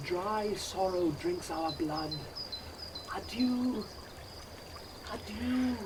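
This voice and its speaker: A man's voice: high voice, animated, very slow, heavily acted. An actor playing a tender, melancholy young male lover in a classical Shakespearean radio drama. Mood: wistful. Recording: distant from mic, noisy outdoor-scene ambience.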